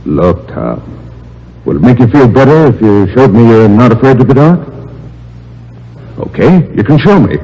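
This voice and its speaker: An actor playing a falsely jovial, taunting older office rival in old-time radio drama, with insinuating delivery. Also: male voice, medium pitch, big pitch swings, normal speed, pauses often, dark tone, slightly rough, highs cut off. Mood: menacing taunts. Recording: old radio recording with music.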